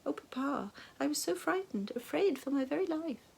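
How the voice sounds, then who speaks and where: A woman's voice: sits high, expressive, normal speed, creaky, darkish tone, pitched above the performer's own voice. A woman voicing a scheming young princess with a melodramatic, simpering manner.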